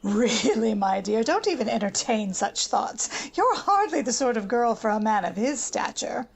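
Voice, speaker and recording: Older snobbish American matron, radio drama, very animated pitch, gravelly creak, bossy, haughty, gushing. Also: female voice, normal speed, full character voice. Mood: haughty, gossipy. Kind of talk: old-time radio drama acting.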